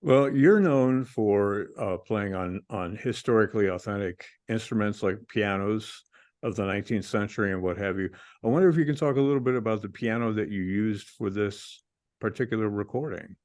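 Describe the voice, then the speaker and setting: A man hosting a classical music radio interview, asking measured, polite questions with small hesitations. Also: lowish voice, darkish tone. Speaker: radio host.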